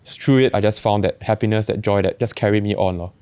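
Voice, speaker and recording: A young man with a Singaporean accent, telling his story in a relaxed, reflective way with many restarts. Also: lowish voice, quick pace, clean tone, dry recording. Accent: Singaporean English. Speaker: barber guest. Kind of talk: casual podcast chat.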